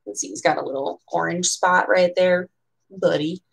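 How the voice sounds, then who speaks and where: A woman's voice: fairly low pitch, normal speed, creaky, husky. A woman in her twenties with an American accent, reading a children's picture book aloud expressively, with casual chatty asides. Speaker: bookseller reading at a storytime event. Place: small room at home.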